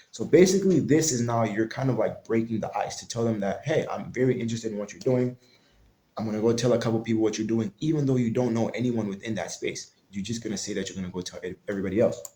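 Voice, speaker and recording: Man, marketing coach type, talks loose and mellow, plenty of restarts, roomy echo on the track. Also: fairly low pitch. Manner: loose and conversational, frequent restarts and fillers. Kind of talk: casual tutorial talk. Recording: echoey room.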